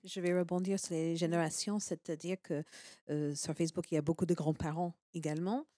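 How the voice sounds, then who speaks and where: A woman's voice: lowish voice, level tone, fairly quick, dark tone, dry recording. A woman in her forties with an American accent, speaking earnestly on a panel discussion with many fillers. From United States. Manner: earnest, emphatic, with frequent fillers.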